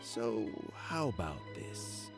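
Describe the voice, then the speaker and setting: An actor playing a kindly, dignified aging official in a video game, speaking formally and with measured care in a gravelly voice. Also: male voice, fairly low pitch, wildly swooping pitch, over music, full character voice. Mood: measured, explanatory. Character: kindly, dignified aging old official passing on his post. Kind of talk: video game character acting.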